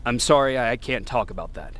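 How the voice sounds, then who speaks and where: A man's voice: mid-range voice, expressive, quick pace, fairly bright tone. An actor playing a young male resistance soldier in a video game, terse, guarded and matter-of-fact. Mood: reluctant, sorry. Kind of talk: video game cutscene acting.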